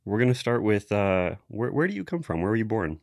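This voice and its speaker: A man hosting a casual podcast, talking in a relaxed, halting way with many pauses and ums. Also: fairly low pitch, vocal fry, dry recording, studio quality. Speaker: podcast host.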